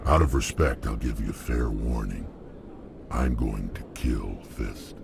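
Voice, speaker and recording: A man voicing a menacing alien bounty hunter in a video game, gravelly, blunt and unhurried. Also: rumbling low, noisy background, heavily acted. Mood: grim, measured.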